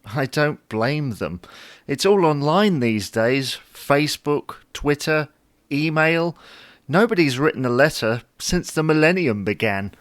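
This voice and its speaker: A man's voice: fairly high pitch, expressive, a little slow, no room sound. A man playing a dry, reasonable but exasperated husband in an audio drama. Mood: dry, mild.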